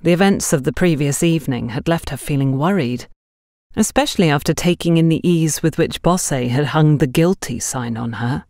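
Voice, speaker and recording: A woman's voice: deep voice, normal speed, a little mellow, dry recording, clean studio sound. A woman reading a scripted mystery story aloud as an audiobook narrator.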